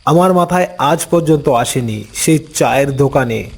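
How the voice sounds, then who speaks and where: A man narrating a scripted first-person story as an uncle, with unhurried, expressive dramatic delivery. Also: mid-range voice, gravelly, slightly rough.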